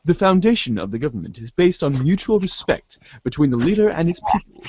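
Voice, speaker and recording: A man's voice: mid-range voice, no echo, lo-fi sound. A man giving an amateur dramatic reading of narration, theatrical in delivery and now and then stumbling over the text. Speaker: narrator reading a webcomic aloud.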